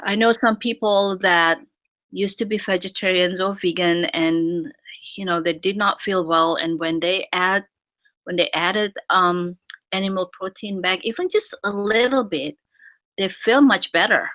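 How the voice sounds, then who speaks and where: Bright-voiced woman giving halting advice. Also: on the low side. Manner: halting, many fillers and restarts. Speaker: fertility expert guest. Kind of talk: casual expert advice.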